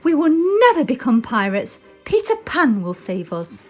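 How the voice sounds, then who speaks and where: A woman's voice: high-pitched, sing-song, measured pace, faint music behind, pitched above the performer's own voice. A woman voicing an earnest, trusting young girl full of wonder in a children's storybook. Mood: proud, defiant. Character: excited, trusting young girl, eldest sister.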